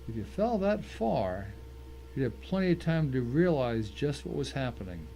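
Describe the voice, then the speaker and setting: A man's voice: medium pitch, warm tone, slight background noise, low-quality recording. A man playing a tense, hard-bitten thriller narrator who tells his own ordeal in dramatic scripted first person.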